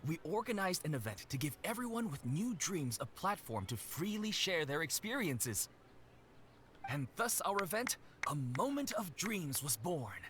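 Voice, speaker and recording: A woman's voice: lowish voice, very animated, moderate pace, a little hiss or hum. A woman voicing a polite, formal event organizer who speaks graciously. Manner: formal, gracious, soft laughs. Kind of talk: game voice acting. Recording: game audio with clear music.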